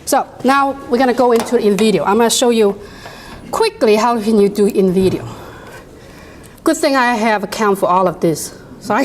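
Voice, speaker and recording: A woman with a high voice and a non-native English accent leads a workshop, chatting and animated as she demos software for the room. A faint hum sits behind her in a conference room. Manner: chatty, animated, laughs often, talks to the audience directly. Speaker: workshop presenter. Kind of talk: live software demo to a room.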